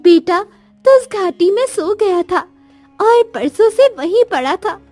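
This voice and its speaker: A woman's voice: very high-pitched, lively intonation, unhurried, mellow, soft background music. A woman voicing Mother Nature, a stern yet motherly goddess, in a cartoon moral story with admonishing, maternal authority. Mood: solemn, consoling. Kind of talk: dramatic Hindi cartoon story dialogue.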